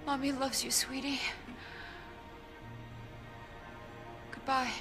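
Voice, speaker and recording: A woman's voice: high voice, flat delivery, fairly quick. An actress playing a cold, driven scientist mother in a video game, with a controlled, dismissive way of talking. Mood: sorrowful. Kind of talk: video game cutscene acting. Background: game soundtrack music.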